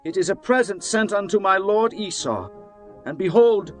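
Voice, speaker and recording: A man voicing Jacob, an anxious older patriarch who pleads humbly, over soft music. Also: sits high, lively intonation, moderate pace, pitched above the performer's own voice. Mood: urgent.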